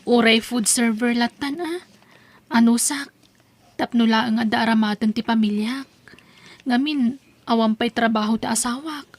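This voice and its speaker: A woman's voice: a little high, a little slow, long pauses. A woman playing a humble, struggling young mother in an Ilocano audio drama. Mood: earnest.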